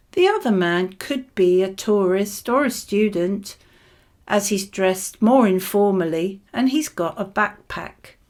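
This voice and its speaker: A woman reading scripted model answers for a spoken exam, with measured, clearly phrased delivery. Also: medium pitch, darkish tone.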